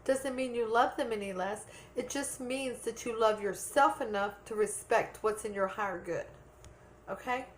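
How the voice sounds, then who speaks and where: A woman's voice: on the high side, moderate pace, a little hiss or hum. A woman giving a tarot reading to viewers in an earnest, repetitive way, checking in with "okay?" and losing her voice a little. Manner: earnest, repeats points with "okay?". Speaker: tarot reader.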